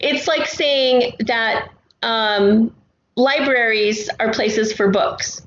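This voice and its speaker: A woman's voice: on the high side, drawn-out words, a bit bright. Echoey woman in a casual interview. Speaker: guest writer and podcast creator. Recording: echoey room, dull lo-fi, faint noise.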